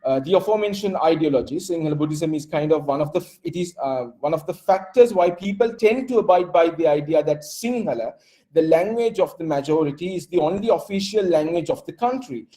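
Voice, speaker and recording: Young Sri Lankan man, raised mellow voice, reads his paper phrase by phrase with many "uh"s. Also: a little high, expressive. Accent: Sri Lankan English. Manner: reads in measured phrases with frequent "uh" fillers. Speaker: conference presenter. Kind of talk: reading an academic paper.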